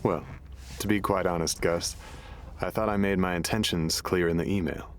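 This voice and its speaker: An actor playing an easygoing middle-aged drifter in an audio drama, gravelly and folksy, with a philosophical bent. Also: male voice, low-pitched, fairly even intonation, moderate pace, slight background noise, close to an everyday voice. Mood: wry, probing. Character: gravelly middle-aged male drifter and late-night radio host, easygoing.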